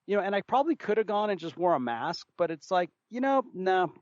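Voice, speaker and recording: A man talking casually as a podcast host, thinking aloud in a halting, conversational way. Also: sits high, sing-song, dry recording. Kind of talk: casual chat. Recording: slightly dull, dry recording.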